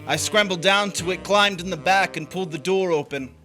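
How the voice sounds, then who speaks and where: An actor playing an uneasy, guilt-ridden drifter in a noir stage drama, confessing his crime in a halting way. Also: high-pitched, sharp tone, soft background music. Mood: grim, recounting. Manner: hesitant, halting in dialogue.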